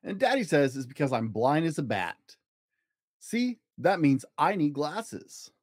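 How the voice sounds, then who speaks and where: A man plays a little girl from a storybook, stubborn and theatrical. Her lines are whiny and insistent, pitched up with big swoops, in a dry recording. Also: big pitch swings, unhurried, no echo. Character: stubborn, dramatic little sister who wants glasses.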